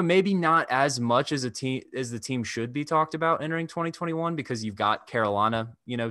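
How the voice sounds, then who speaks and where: A man's voice: medium pitch, pushed and bright, dry recording. Young man hosting a sports podcast, dry sound. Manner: measured, analytical, restarts phrases. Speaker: podcast host. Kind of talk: casual sports talk. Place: indoor room. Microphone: desk microphone on video call.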